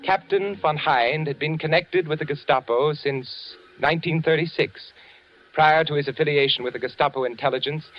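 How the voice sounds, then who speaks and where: A man acting as a tense, secretive spy, narrating a 1940s radio drama in deliberate, suspenseful, clipped phrases. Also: medium pitch, close to an everyday voice. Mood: urgent, grim. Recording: dull vintage radio recording with music.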